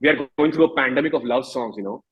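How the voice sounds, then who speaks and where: Husky Indian man talking casually. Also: mid-range voice, quick pace, phone-quality sound. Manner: informal, reflective, peppered with "you know" and "like". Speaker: singer-songwriter being interviewed. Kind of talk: casual conversational interview.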